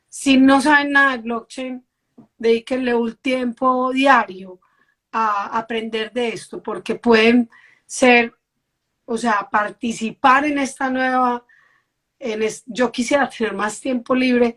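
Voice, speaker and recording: A woman's voice: on the high side, a bit bright. A woman hosting a casual live-stream chat, earnestly encouraging her viewers. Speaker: host.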